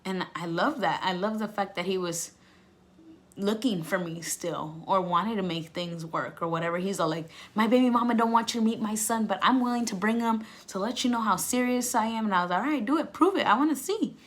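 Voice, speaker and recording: A woman's voice: medium pitch, brisk. A young woman vlogger telling a personal story in casual, candid, slangy speech with swearing, acting out past conversations as she goes.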